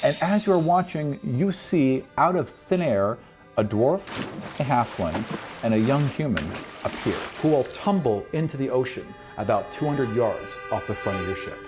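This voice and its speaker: A man's voice: mid-range voice, expressive, moderate pace. A man narrating a fantasy adventure in a dramatic, scripted storytelling style. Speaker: game master narrator. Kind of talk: dramatic scripted recap narration over music. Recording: music behind the voice. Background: music.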